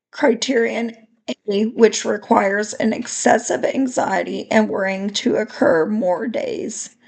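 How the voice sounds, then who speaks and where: Slow raspy-voiced woman reading a case study. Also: a little high, darkish tone, poor audio. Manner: slow, careful reading with small restarts. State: raspy voice from illness.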